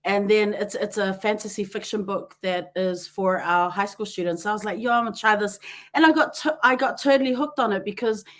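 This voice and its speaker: A woman with a New Zealand accent hosting a podcast, chatty and quick to laugh, often wandering off on tangents. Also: mid-range voice. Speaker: podcast host. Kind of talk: casual chat.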